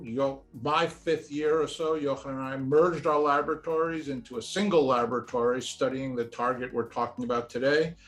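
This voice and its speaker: A man's voice: on the high side, a bit bright. A man in his sixties, a professor, answering interview questions earnestly, often restarting his sentences as he searches for words, in an office. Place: indoor office with shelves and pictures.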